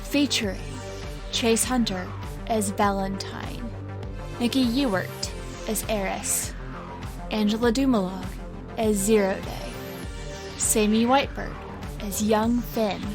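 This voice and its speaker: Female credits announcer, unhurried delivery, scripted, over clear music. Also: medium pitch. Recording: music behind the voice.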